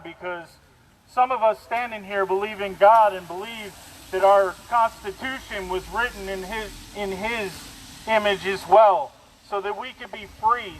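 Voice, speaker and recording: A man's voice: high-pitched, fairly bright tone. A man giving an angry, defiant protest speech through a bullhorn, speaking outdoors. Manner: defiant, confrontational. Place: outdoors in rain at a street protest. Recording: noisy outdoor street recording, bullhorn.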